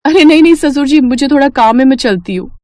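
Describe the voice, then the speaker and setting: A woman's voice: high voice, lively intonation, fast talker, warm tone, voice pushed up. A woman voicing a flustered young bride. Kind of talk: cartoon dubbing.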